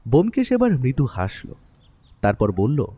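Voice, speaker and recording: A man playing an easygoing writer, the detective's companion, narrating a Bengali audio mystery in the first person with expressive storytelling. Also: medium pitch, normal speed, breathy edge. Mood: reflective, narrating.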